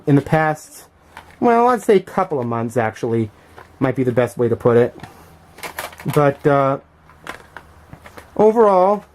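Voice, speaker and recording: A middle-aged man giving a casual, unscripted show-and-tell commentary as a collector, thinking aloud in a halting, hesitant way. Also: on the high side, darkish tone. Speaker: vlogger and music collector showing finds.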